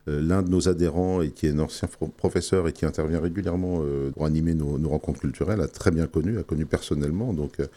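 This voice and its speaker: A man with an exceptionally deep voice and a dark, mellow tone. He speaks as a radio interview guest, hesitant and thoughtful, filling pauses with frequent fillers. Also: steady tone. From France. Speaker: association president.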